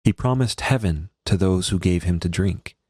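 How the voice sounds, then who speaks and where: A man, a priest, reading a devotional text aloud in a solemn, measured and formal manner. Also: sits low, darkish tone, no echo, clean studio sound.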